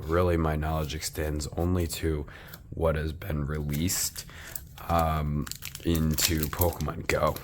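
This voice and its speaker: A man's voice: very deep voice, slight background noise. A man who is losing his voice, chatting casually while opening trading card packs, rambling with many ums and restarts.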